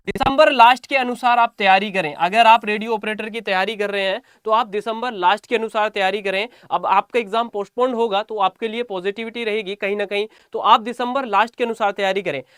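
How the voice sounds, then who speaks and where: A man's voice: high voice, steady tone, fairly quick, few pauses, a bit bright, smooth, no echo, clean studio sound. A man giving an informal exam-update lesson to students as a coaching teacher, repeating phrases for emphasis.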